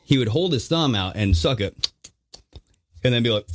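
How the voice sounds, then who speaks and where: A man's voice: medium pitch, expressive, moderate pace. A man hosting a podcast, telling stories in loose casual chat, swearing and laughing often. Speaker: podcast host.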